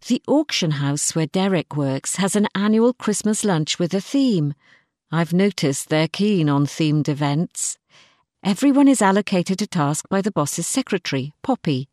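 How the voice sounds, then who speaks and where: A woman reading a scripted, diary-style short story in an expressive manner. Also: fairly low pitch, measured pace, no room sound, clean studio sound. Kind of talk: scripted first-person story narration, diary-like.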